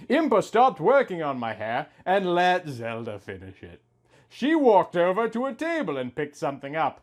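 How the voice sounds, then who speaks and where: A young man reading a story aloud in a theatrical, mock-serious way with exaggerated emphasis. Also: a little high. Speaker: host reading amateur fan fiction.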